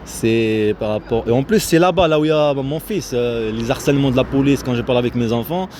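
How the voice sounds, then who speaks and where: Man rambling casually over loud outdoor noise. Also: medium pitch. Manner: halting, repetitive, self-interrupting. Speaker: vlogger. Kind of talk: casual rambling vlog commentary. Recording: noisy outdoor recording with vehicle sounds.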